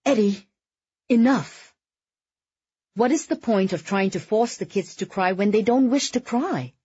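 A woman's voice: medium pitch, a little fast, darkish tone, slightly rough, dry recording, lo-fi sound, voice pushed up, close to an everyday voice. A woman voicing an exasperated wealthy wife and mother in an audiobook, fretful and snappish.

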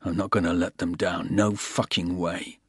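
A man voicing a tough, determined bodyguard, speaking in a low, gruff mutter. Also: level tone, normal speed, fairly bright tone, no echo, studio quality, exaggerated voice. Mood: grim.

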